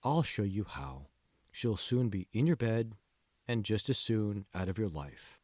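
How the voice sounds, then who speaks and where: A man playing a calm, manipulative male mentor in an audiobook, speaking slowly and deliberately with hushed, condescending questions. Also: fairly low pitch, no room sound, clean studio sound. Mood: smooth, probing. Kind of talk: dramatic audiobook dialogue.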